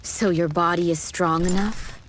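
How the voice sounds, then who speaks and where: An actress playing a stern, composed warrior princess in a video game, speaking in a formal, measured and authoritative way. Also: female voice, fairly low pitch, steady tone, close to an everyday voice. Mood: solemn. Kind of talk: video game cutscene acting. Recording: noisy game audio with background ambience.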